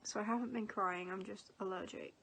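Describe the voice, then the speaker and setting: A woman's voice: medium pitch, fairly even intonation, fairly quick, a bit bright, husky. Sniffly young English woman vlogging. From England. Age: 20s. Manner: rambling, many fillers and restarts. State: sniffly from allergies. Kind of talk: casual storytelling vlog.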